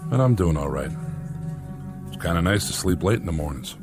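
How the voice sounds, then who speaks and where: Older male retiree, deep pitch, easygoing, respectful, classic radio drama, music behind. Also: lively intonation, fairly quick. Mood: mild, resigned. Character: easygoing, mild retired male company employee. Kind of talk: classic science-fiction radio drama acting.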